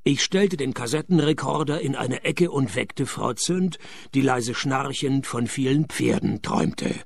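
An actor playing a cynical private detective, narrating with dry, sardonic wit. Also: male voice, medium pitch, a little slow, vocal fry, husky, no room sound. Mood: deadpan. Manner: deadpan, sardonic. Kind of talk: radio play narration.

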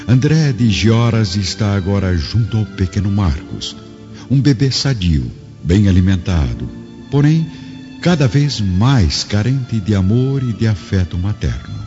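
A man's voice: on the low side, big pitch swings, measured pace. A man narrating a radio drama from a script in a solemn, literary, dramatic style. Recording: dull lo-fi radio drama audio. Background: background music.

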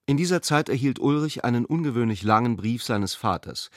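A man narrating a literary radio drama in a measured, articulate way. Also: medium pitch, lively intonation, no echo, clean studio sound.

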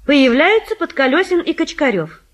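A woman's voice: high voice, very animated, moderate pace. A woman reading out stage directions as the narrator of a radio play.

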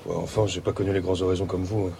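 An actor playing a reticent, melancholy Parisian polar researcher in a radio drama, subdued and measured. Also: male voice, deep voice, little pitch movement, dark tone. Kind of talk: naturalistic French radio drama acting. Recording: clear ambient noise behind voice.

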